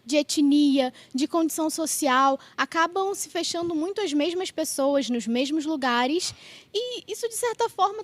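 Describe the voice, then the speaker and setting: Woman podcast host, Brazilian accent, high voice, dry close sound, polite explanatory interviewing style. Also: dry recording. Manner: explanatory, polite, builds up to questions. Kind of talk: conversational interviewing.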